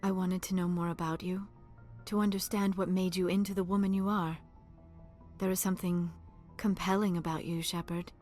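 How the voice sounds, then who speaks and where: Actress doing a shy alien lady scholar for a game, very proper wording, mid voice, soft music underneath. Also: mid-range voice, normal speed, lightly acted. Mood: tentative, intimate. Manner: formal, careful phrasing without contractions. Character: shy, formal, earnest female alien scientist. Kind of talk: video game voice acting.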